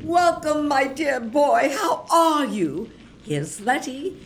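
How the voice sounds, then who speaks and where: Woman as hearty old aunt, high, slow, swooping. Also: animated, full character voice. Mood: delighted, fussing. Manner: hearty, teasing, exclamatory. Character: cantankerous, hearty old aunt and guardian with nautical talk. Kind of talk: gothic comedy audio drama.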